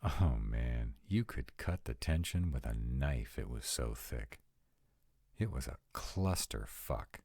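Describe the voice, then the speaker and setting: A man acts out a young male vampire, sardonic but troubled, in an intimate audio roleplay. His voice sits extremely deep, husky and mellow. He confides in a casual, sweary, hesitant way. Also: animated, slow, no room sound, clean studio sound, heavily acted. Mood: uneasy, confiding.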